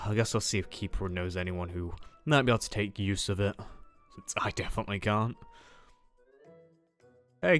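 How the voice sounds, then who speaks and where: Male player as jaded final-year duel student. Lowish pitch, muttered asides, sardonic, loose improvised game roleplay over faint music. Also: fairly bright tone. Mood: exasperated, then friendly, hesitant. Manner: hesitant, rambling, muttering asides. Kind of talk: casual improvised Minecraft anime roleplay.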